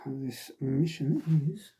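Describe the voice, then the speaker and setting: A man's voice: medium pitch, animated, very slow, warm tone, husky. A middle-aged man reviewing a wargaming magazine in a casual, rambling way, sighing often and filling gaps with ums while he gets over a cold that has him losing his voice. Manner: rambling, frequent sighs and ums.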